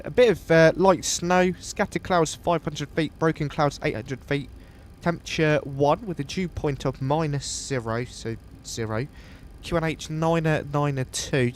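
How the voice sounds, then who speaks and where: A man with a sniffly voice from a coming cold, calmly thinking aloud step by step as he streams a flight simulator session. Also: on the high side, a little hiss or hum. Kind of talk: casual live walkthrough of a flight simulator.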